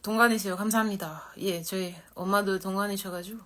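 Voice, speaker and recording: Woman, 30s, from Korea, quick and candid, self-deprecating live-stream host. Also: mid-range voice, fairly even intonation, a bit bright. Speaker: model and livestream host. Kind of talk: casual live-stream chat.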